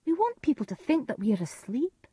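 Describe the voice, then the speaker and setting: A domineering noblewoman from a storybook, as a woman reader acts her. Her voice is high and loud, full of scorn and command, with ruthless force. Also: very animated, a little fast, no echo, clean studio sound. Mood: scornful, urgent.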